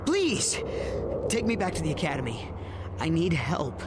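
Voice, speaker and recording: A scared young Jedi apprentice in a video game, pleading anxiously in a voice that swings widely in pitch. Loud noise runs behind him. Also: male voice, huge pitch swings, unhurried. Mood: panicked, pleading. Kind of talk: dramatic video game cutscene acting.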